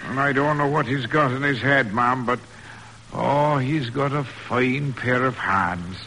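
An actor playing a good-natured farmhand in a rustic, colloquial 1940s radio drama style. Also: male voice, medium pitch, unhurried, pushed and bright. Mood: earnest admiration. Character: good-natured folksy male farmhand, loyal and plainspoken. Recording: noisy old radio broadcast recording.

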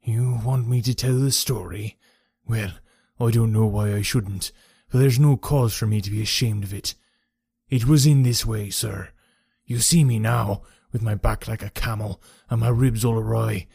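A man reading an audiobook and voicing a bitter, crippled old soldier in a gruff, impassioned manner. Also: fairly low pitch, steady tone, moderate pace, a little mellow, no room sound.

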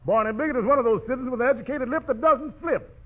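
A man's voice: very high-pitched, very fast. A man announcing music on 1940s radio in slangy, playful jive-talk patter. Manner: slangy, rhyming hipster patter. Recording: tinny old 1940s radio recording.

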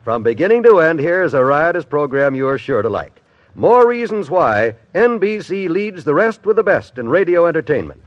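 A man's voice: fairly high pitch, big pitch swings, moderate pace. A man with an American accent, a radio announcer reading network promos in 1950s style. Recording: dull, dry old radio recording.

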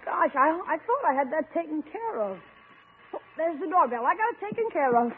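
Very high, cheeky boy. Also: big pitch swings, brisk. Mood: eager. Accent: American. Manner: eager, fake-innocent. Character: cheeky, scheming young boy, the nephew. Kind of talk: 1940s-50s radio comedy acting. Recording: muffled, noisy vintage radio broadcast.

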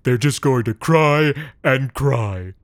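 A man voicing a huge, menacing businessman giant who talks in a booming, sarcastic way. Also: expressive, no echo, clean studio sound, natural voice. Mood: indignant. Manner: slow, theatrical, swings loud then soft. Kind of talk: storytelling narration.

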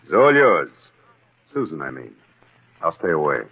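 A man's voice: rumbling low, big pitch swings, moderate pace, husky, heavily acted. A man playing a shifty, hard-boiled philandering husband in a 1940s radio drama, talking in a clipped, evasive, casual way. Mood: bitter, resigned. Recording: tinny old radio broadcast.

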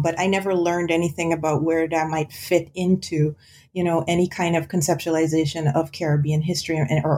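A woman's voice: low-pitched, a little mellow, slight background noise. A woman from Guyana, an author discussing her book in an interview, thoughtful with frequent ums and restarts. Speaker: author and guest. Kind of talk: academic interview conversation.

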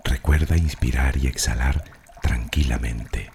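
A man with a rock-bottom, mellow voice leads a guided meditation. He delivers affirmations slowly and soothingly, leaving long pauses. His tone is dark and gravelly. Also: very deep voice, fairly even intonation, a little hiss or hum.

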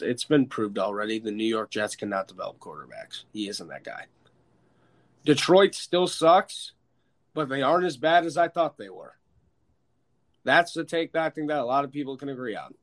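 An American man hosting a casual, sweary sports chat, opinionated and emphatic, with a stuffed-up, slightly hoarse voice. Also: medium pitch, very animated, moderate pace. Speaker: sports podcast host. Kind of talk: casual, profane football chat.

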